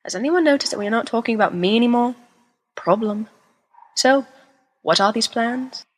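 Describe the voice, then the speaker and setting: A woman's voice: a little high, moderate pace, natural voice. A woman voicing a flamboyant, attention-seeking seventeen-year-old boy with melodramatic, teasing delivery in an amateur audio drama. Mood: mock-hurt. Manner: melodramatic, playful whining.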